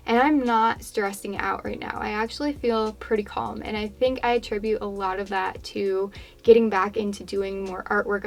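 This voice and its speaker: Congested young woman, chatty craft voiceover. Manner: rambling, chatty, low-key and even-toned. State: congested, voice fading. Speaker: craft YouTuber. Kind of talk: casual voiceover chat over a painting demo.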